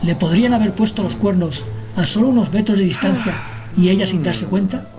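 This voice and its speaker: A woman's voice: medium pitch, a little fast. A woman narrating a scripted radio drama as the storyteller. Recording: music behind the narration.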